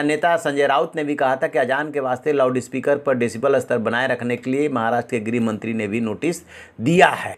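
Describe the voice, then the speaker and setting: Man presenting a news report. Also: a little high.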